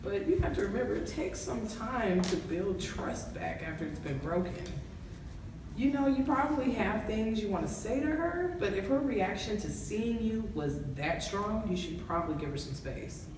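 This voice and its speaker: A woman's voice: mid-range voice, expressive, natural voice. Echoey, supportive therapist played by a woman. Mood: reassuring. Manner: gentle, reassuring, measured. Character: calm, supportive female therapist, recounting a client's case. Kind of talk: amateur dramatization acting. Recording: very echoey room, distant mic, clear noise.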